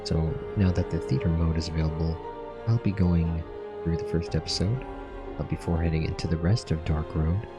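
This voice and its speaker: A man's voice: low voice, fairly even intonation, normal speed, loud music behind the voice. A man hosting a gaming channel, casually narrating and commenting as he plays through a game.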